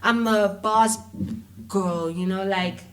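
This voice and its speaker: A woman's voice: mid-range voice, steady tone, takes it slowly. A woman with a South African accent chatting informally and animatedly as an interview guest, using plenty of slang. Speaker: interview guest, filmmaker and actress. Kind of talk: casual conversational interview.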